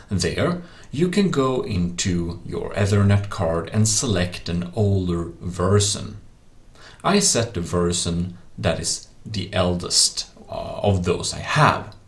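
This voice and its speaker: A man with a low, deep voice presents a tech tutorial as a voice-over. He explains things in a casual, practical manner. His English has non-native phrasing. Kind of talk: casual explanatory screen-recording narration. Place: voice-over for a screen recording.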